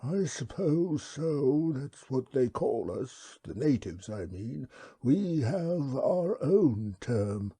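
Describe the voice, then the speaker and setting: A man voicing a ponderous, courteous old alien creature that talks slowly and formally. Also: medium pitch, sing-song, warm tone, slightly rough, pitched above the performer's own voice, full character voice. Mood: calm, polite. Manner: slow, courteous, drawn-out speech. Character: ponderous, polite, ancient pig-like alien creature.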